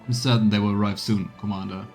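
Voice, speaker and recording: A man's voice: fairly low pitch, flat delivery, fast talker, darkish tone, faint music behind, close to an everyday voice. A man voicing an earnest military adviser, reading video game dialogue in a firm, formal manner. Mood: assured, earnest.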